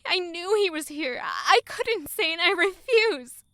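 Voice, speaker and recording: A young woman in an audio drama, fierce and distraught. Her voice is high and piercing, recorded dry, with raw emotional delivery. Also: lively intonation, a little slow, a bit bright, no echo, raised voice, heavily acted.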